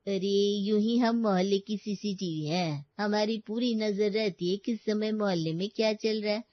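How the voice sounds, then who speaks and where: A woman's voice: mid-range voice, normal speed, no room sound, dull audio, close to an everyday voice. A woman voicing a watchful, self-satisfied older neighbour with a knowing, chatty manner in a cartoon story. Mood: smug.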